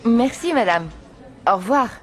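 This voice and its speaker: A woman's voice: a little high, animated, unhurried, slight background noise. A woman acting as a polite everyday character in a scripted language-lesson dialogue. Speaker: dialogue actor.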